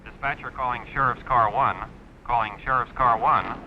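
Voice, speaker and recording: An actor playing a brisk, obliging male sheriff's dispatcher over a police radio in an old-time radio drama, with a muffled sound. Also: mid-range voice, steady tone. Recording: muffled, faintly noisy vintage radio recording.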